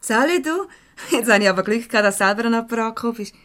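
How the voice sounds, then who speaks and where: An actress with a Swiss accent playing a chatty, easily exasperated young secretary in a radio comedy. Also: female voice, on the high side, lively intonation, quick pace, fairly bright tone. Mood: bubbly, chatty.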